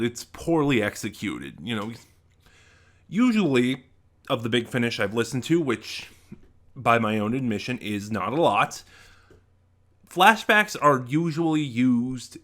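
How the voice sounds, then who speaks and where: Man, medium pitch, bright and forceful, informal reviewer, halting emphatic delivery. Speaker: review channel host. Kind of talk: informal spoken audio drama review.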